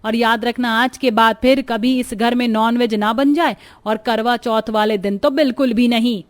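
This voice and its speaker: A woman's voice: on the high side, steady tone, normal speed, close to an everyday voice. A woman voicing a strict but caring traditional mother-in-law who gives firm instructions in a cartoon story. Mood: stern, instructing. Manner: instructing, firm, addresses her daughters-in-law.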